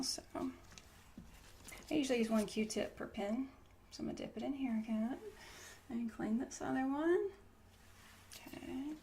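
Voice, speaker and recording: A woman's voice: high voice, a little hiss or hum. A woman doing an ASMR medical roleplay as a nurse, explaining each step in a casual, reassuring bedside way. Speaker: ASMR creator playing a trauma nurse.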